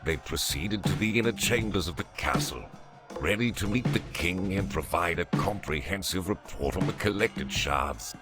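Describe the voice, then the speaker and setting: Low-pitched male fantasy narrator. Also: animated, normal speed. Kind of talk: scripted fantasy story narration. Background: music.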